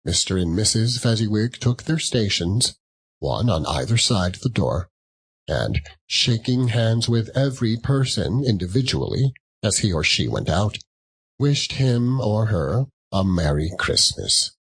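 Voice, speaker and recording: A man reads a classic tale as a theatrical storyteller. His pace is very slow and deliberate. The voice is husky and rises and falls expressively. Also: on the low side, very animated, low-quality recording. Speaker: audiobook narrator.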